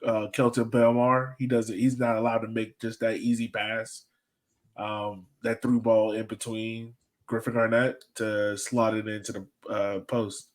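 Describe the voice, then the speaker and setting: A man's voice: lowish voice, level tone, measured pace. A man co-hosting a casual sports podcast, breaking down a match in an informal, joking way. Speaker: podcast co-host.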